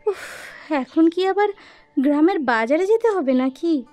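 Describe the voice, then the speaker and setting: Woman playing a high-voiced fussy young mother. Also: animated, a little slow, darkish tone, faint music behind. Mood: fretful. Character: practical, fretful young mother and housewife. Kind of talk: animated ghost story dialogue.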